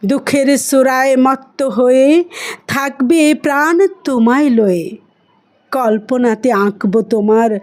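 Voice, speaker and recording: Woman doing a poetry recitation, kinda high voice, really slow and emotive. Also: a little mellow.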